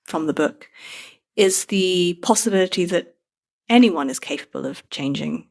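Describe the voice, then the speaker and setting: A woman's voice: lowish voice, animated, moderate pace, gravelly. A woman with a British accent speaks as a guest author in an interview. She is conversational and thoughtful, with a self-deprecating way of talking. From Britain. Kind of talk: conversational interview about a book.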